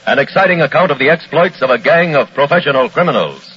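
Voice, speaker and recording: A man narrating a vintage radio crime show in a formal, measured, dramatic scripted style. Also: fairly high pitch, animated, a bit bright, slightly rough. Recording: muffled old radio broadcast with music.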